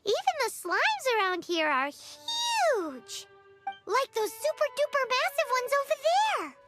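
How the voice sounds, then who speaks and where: A tiny fairy companion girl from a video game, with an extremely high, childlike squeak. She is eager and chatty, speaks in unhurried phrases and swings widely in pitch, with music playing clearly behind her. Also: wildly swooping pitch, full character voice. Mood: explaining, wary. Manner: eager, chatty, refers to herself by name. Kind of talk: animated video game voice acting.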